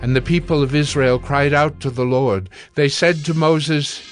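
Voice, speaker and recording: Solemn male scripture narrator over soft music. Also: on the high side. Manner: solemn, measured.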